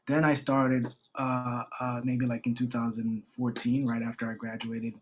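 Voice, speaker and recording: A young man from New York speaks over a video call in an even, level voice that barely rises or falls. He talks casually and haltingly, with many fillers and restarts. Faint noise sits under the call. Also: mid-range voice. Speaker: former grantee. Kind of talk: casual online info session talk.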